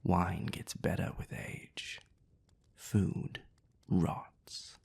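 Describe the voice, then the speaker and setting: Brief: man voicing a sardonic vampire aristocrat, deep, gravelly, slow, half-whispered barbs. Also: little pitch movement, a little mellow, heavily acted. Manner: dry, mocking, formally polite. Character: haughty sardonic male vampire, old rival. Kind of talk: audio roleplay acting.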